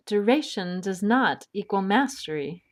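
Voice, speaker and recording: Actress as bookish heroine, skeptical, precise, measured slow pace, medium pitch, audio drama. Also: female voice, animated, lightly acted. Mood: thoughtful, focused. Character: sensible, bookish woman adventurer, dryly skeptical.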